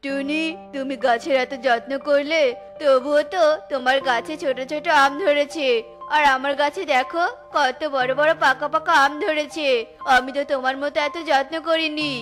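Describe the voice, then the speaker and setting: A woman's voice: high voice, fairly even intonation, moderate pace, a bit bright, faint music behind. A woman voicing a selfish, boastful male crow in a children's cartoon, with a scornful, bragging manner.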